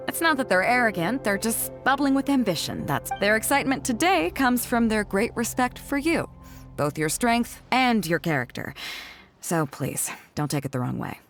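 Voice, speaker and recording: A woman's voice: a little high, sing-song, normal speed, fairly bright tone, soft background music. An actress playing a confident, easygoing woman fleet captain in a video game, with an assured, commanding, colloquial manner. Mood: warm, candid.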